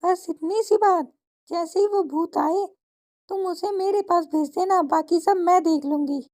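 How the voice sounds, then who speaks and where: A woman voicing a landlord's shrewd, unflappable wife in a cartoon story, brisk and self-assured. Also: very high voice, darkish tone, pitched above the performer's own voice. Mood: confident, brisk. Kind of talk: cartoon story character acting.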